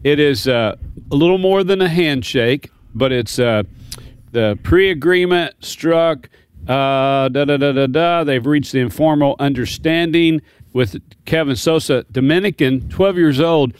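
A man's voice: fairly high pitch, a little slow, smooth, no room sound. A man co-hosting a radio call-in show, joking and telling rambling stories in casual banter. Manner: jokey, rambling storyteller.

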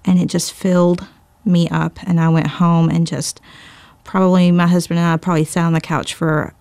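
A woman's voice: on the low side, level tone, normal speed, creaky, darkish tone, clean tone, dry recording. A woman giving a casual, reflective account of her own experience. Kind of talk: casual personal testimony.

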